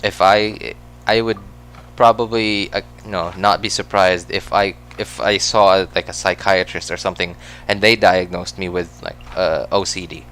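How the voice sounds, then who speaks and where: A man's voice: low voice, fairly even intonation, moderate pace, a bit bright, clear, resonant tone. A man with a Filipino accent, whose first language is Cebuano, chatting casually while he plays a game, rambling with lots of fillers and self-corrections. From Philippines. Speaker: gaming streamer.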